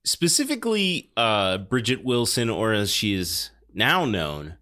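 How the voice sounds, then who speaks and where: Guy hosting a movie podcast, lowish voice, wry and jokey, just chatting. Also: sing-song, unhurried, bright, forceful tone. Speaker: podcast host. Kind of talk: casual film discussion and show announcements.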